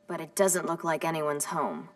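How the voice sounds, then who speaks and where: A woman voicing a gloomy, sardonic teenage sorceress superhero in a video game, with dry deadpan delivery. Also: low voice, moderate pace, no echo, far from the mic, close to an everyday voice. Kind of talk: video game cutscene acting.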